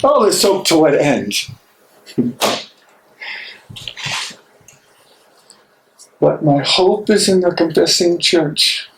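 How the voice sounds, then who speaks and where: Man acting an anguished, slow-spoken imprisoned theologian. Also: a little high, fairly bright tone. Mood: bitter, searching. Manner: slow, declamatory, impassioned delivery. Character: imprisoned German theologian, anguished, devout, defiant of Nazis. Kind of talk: dramatic stage reading. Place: library room, live reading. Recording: echoey room, distant mic, noisy.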